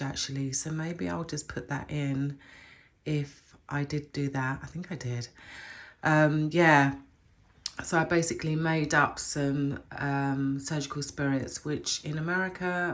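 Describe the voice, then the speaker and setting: Chatty woman, low voice, stuffy from a cold, rambling and self-correcting while showing her plants. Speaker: plant vlogger. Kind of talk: chatty plant care vlog. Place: home room with houseplants.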